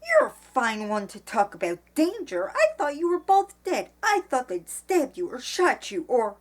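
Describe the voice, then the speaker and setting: A woman voicing an indignant, sharp-tongued older woman in a storybook, scolding and emphatic. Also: on the low side, big pitch swings, moderate pace. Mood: furious.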